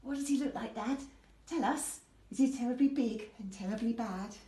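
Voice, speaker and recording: A woman voicing a bold little female Gruffalo child in a storybook read-aloud. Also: a little high, normal speed, warm tone, natural voice. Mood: curious. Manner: storybook read-aloud, sing-song rhyme. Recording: roomy sound from far off.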